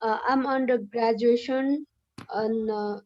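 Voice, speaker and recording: A woman's voice: a little high, fairly even intonation, slow pace, a little mellow. Young woman student, muffled call. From Nepal. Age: young adult. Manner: hesitant, friendly. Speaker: university student. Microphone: video call.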